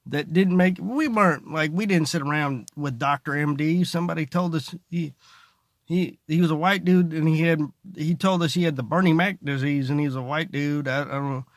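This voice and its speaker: A man with a creaky voice giving a casual, rambling, sarcastic comedy monologue, recorded in a car. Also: fairly high pitch, normal speed, no echo, clean studio sound. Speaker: stand-up comedian, vlogger. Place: inside a parked car.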